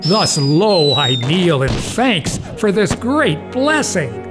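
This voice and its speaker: A man plays a mad, gullible old doctor in an audio farce. His slightly high voice swings widely, earnest and grandiose in a melodramatic stage manner. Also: sing-song, slow, music in the background. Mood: reverent.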